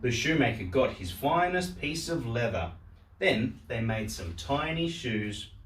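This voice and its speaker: A man reading a storybook aloud for children in a simple, deliberate way. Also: medium pitch. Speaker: storyteller, host of a story channel. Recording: roomy echo, distant microphone.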